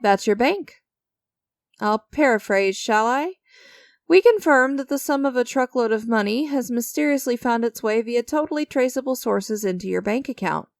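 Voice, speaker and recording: A woman's voice: a little high, animated, moderate pace, gravelly, no echo, studio quality. A woman playing an enigmatic, creepy stranger who strikes sinister deals in a dramatic audio roleplay, teasing and theatrical with a smug, coaxing manner. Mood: smug, playful.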